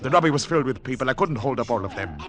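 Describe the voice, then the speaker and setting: A man's voice: medium pitch, animated, very fast, a bit bright, natural voice. A man acting a weary, haunted secret agent who tells his own story stoically in a 1940s radio drama. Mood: somber, resigned. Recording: 1940s radio broadcast, music behind.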